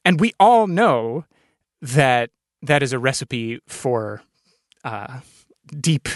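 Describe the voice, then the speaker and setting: A man hosting a thoughtful podcast interview, speaking in deliberate, measured phrases with pauses. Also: medium pitch, very animated, dry recording, studio quality.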